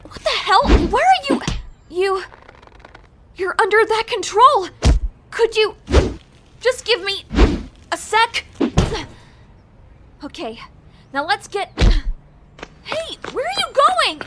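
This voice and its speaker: A woman's voice: extremely high pitch, sing-song, takes it slowly, long pauses, background noise, exaggerated voice. A woman playing a feisty young crime-fighting sidekick in a dramatic, sarcastic audio drama style. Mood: frantic. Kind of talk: audio drama acting with first-person narration. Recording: echoey long reverb, music underneath.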